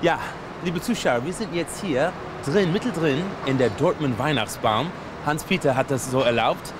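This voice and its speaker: A man's voice: a little high, moderate pace, noisy background. An American man speaking German as a learner with small grammar slips, enthusiastically interviewing people outdoors at a Christmas market. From United States. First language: English. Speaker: host, touring reporter. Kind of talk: casual on-location interview. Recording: outdoor market with loud music. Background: music.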